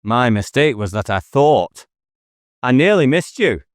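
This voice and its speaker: Man performing a male police inspector, raised pitch, challenging, declamatory, agitated, audiobook dialogue, dry recording. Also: sing-song, unhurried, dry recording. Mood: challenging, defiant.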